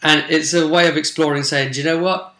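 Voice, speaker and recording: A man casually rambling through a recap of a tabletop role-play session as its game master, jokey and animated, often interrupting himself. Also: on the high side, a little fast. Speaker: game master and vidcast host. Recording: echoey, roomy sound.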